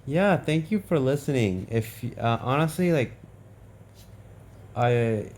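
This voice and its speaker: A man's voice: medium pitch, expressive, slow, darkish tone, slight background noise. A man hosting a game story read-along in casual, informal chat.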